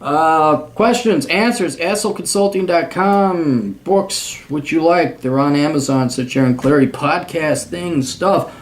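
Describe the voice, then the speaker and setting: A man's voice: a little high, unhurried, few pauses. A man in his forties with a Midwest accent, talking bluntly and sarcastically in a rambling monologue to camera. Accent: American Midwest. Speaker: vlogger.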